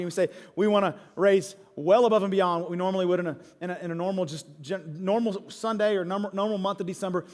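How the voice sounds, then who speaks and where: A man in his forties preaching a sermon as a pastor, speaking emphatically and candidly and repeating phrases for effect. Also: high voice. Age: 40s. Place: large church auditorium. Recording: long hall reverb, music underneath. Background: music.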